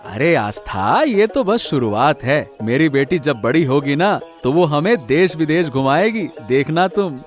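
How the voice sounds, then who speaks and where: A man voicing an earnest, sentimental village family man in an animated moral story. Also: a little high, a little slow, few pauses, faint music behind. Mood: proud, tender.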